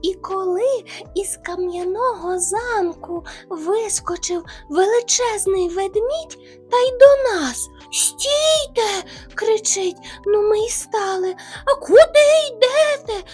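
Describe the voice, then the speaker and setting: A woman's voice: extremely high pitch, expressive, slow, darkish tone, soft background music, pitched above the performer's own voice, full character voice. Woman doing a timid, stammering little hare. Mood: pleading. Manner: stammering, tearful pleading. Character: timid small male hare, trembling and polite.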